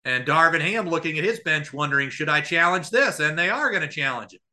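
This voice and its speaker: A man calling live basketball play-by-play as a podcast host, somewhat halting between plays. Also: a little high, expressive, bright, forceful tone.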